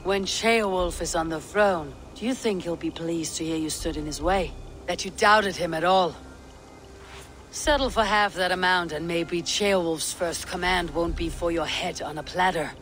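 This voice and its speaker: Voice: mid-range voice, moderate pace, fairly bright tone, faint music behind, close to an everyday voice. An actor playing a blunt, hard-bargaining Viking warrior in a video game, terse and menacing. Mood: firm, threatening. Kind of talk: video game cutscene acting.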